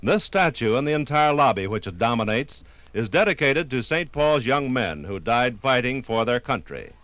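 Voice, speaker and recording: A man reading scripted 1950s radio documentary narration in a formal, measured manner. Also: medium pitch, big pitch swings, fairly bright tone, no echo.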